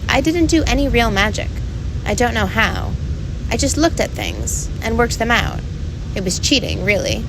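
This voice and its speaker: A man's voice: extremely high pitch, very animated, moderate pace, background noise, voice pushed up, heavily acted. A man voicing a thoughtful, determined young girl who speaks plainly and earnestly, in a storybook reading. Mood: modest, earnest.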